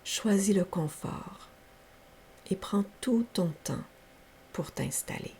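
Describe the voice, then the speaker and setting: A woman guides a relaxation practice in a soft, gravelly low murmur with frequent creak. She speaks very slowly and gently, leaving each instruction room to settle. A faint hiss sits behind her voice. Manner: soft, breathy, unhurried, gentle. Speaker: yoga nidra teacher. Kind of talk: guided meditation, slow soothing instruction. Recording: faint steady background hiss.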